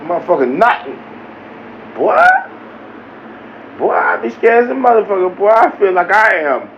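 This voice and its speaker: A man hosting a live reaction stream, riffing in loose, profane banter straight at his viewers. His voice sits a little high and comes through muffled. There is steady noise behind him. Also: normal speed. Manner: animated, talks straight to viewers. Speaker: livestream reaction host. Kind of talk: casual profane reaction commentary.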